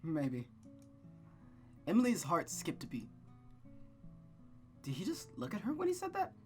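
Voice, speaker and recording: An excitable let's-play host with a mid-pitched voice reads a visual novel and chats casually. The manner is informal, and plucked guitar music plays behind the voice. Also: normal speed. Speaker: video host reading a visual novel aloud.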